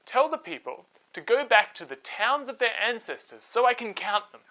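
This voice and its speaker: A man's voice: extremely high pitch, very animated, normal speed, pushed and bright, voice pushed up, full character voice, rough recording. A man voicing a commanding Roman emperor in a children's storybook tale, imperious and emphatic.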